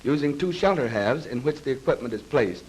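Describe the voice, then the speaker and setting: A man reads scripted narration for a 1930s military training film in a formal, measured, precise manner, on a vintage film soundtrack. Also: medium pitch, animated, a little mellow, a little hiss or hum, low-quality recording. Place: voice-over recorded for a film.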